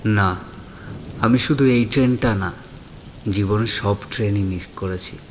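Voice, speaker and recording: A man's voice: lowish voice, measured pace, a little hiss or hum, lightly acted. A man playing a lonely, regretful young man in an audio story, speaking in a subdued, heavy-hearted way. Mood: quiet regret. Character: regretful lonely young man grieving his father, withdrawn, sorrowful. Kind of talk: dramatized audio story acting. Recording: voice over rain and thunder ambience.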